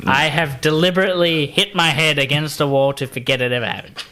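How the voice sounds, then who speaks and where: A man's voice: on the high side, fairly even intonation, fast, sharp tone. A man co-hosting a casual comedy podcast, sarcastic and sweary as he heckles his friends. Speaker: podcast co-host. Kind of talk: casual comedic group chat.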